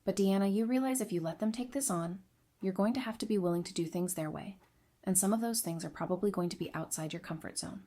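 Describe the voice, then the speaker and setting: A woman's voice: medium pitch, fast talker, dark tone, close to an everyday voice. A woman playing a perceptive, candid advisor in an audio drama, talking in a thoughtful, frank way. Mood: frank, considered. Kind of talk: fan audio drama dialogue.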